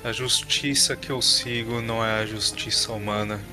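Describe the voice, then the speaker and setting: A man plays a stern, devout inquisitor in a tabletop game. He is solemn and unyielding, with very little movement in pitch, over clear background music. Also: steady tone, normal speed, close to an everyday voice. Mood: solemn conviction. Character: stern male inquisitor devoted to divine justice.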